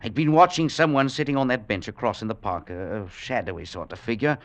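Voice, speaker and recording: A man's voice: mid-range voice, a little fast, dry recording, lightly acted. An actor playing a nervous, haunted professor who stammers as he talks, in old-time radio drama style. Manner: stammering, agitated. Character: nervous, haunted middle-aged male professor. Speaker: professor and scientist. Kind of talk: 1940s radio acting.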